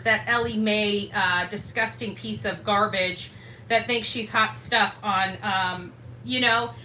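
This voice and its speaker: A high, sharp-voiced woman delivers an indignant livestream rant, combative and emphatic, hammering points by repeating them. She is recorded in an echoey room. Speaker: livestream host. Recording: roomy echo, faint background noise.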